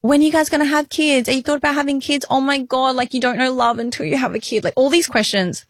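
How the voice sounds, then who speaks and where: A woman's voice: sits high, steady tone, dry recording. Woman, late thirties, candid podcast chat, earnest, dry close sound. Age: 30s. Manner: frank, earnest, quick to laugh. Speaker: podcast co-host.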